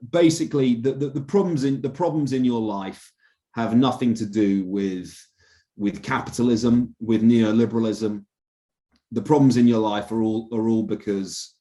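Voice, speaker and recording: A young man with a low, mellow voice talks through his views as a podcast guest. He restarts his sentences often and pads them with fillers, earnest and thoughtful. The tone is dark and warm rather than bright. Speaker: journalist, interview guest. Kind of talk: casual podcast conversation.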